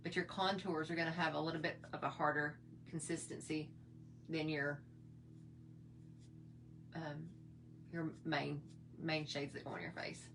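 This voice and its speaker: A middle-aged woman with a slightly hoarse voice, chatting in a folksy, rambling way while she shows her makeup routine. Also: low voice, little pitch movement, measured pace, pauses often, noisy background. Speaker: makeup vlogger. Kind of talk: casual makeup tutorial chat.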